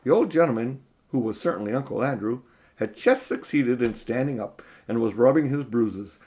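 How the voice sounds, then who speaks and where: A man's voice: medium pitch, lively intonation, moderate pace, warm tone. A man reading an audiobook, narrating a storybook and adding informal asides to the listener. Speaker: audiobook reader.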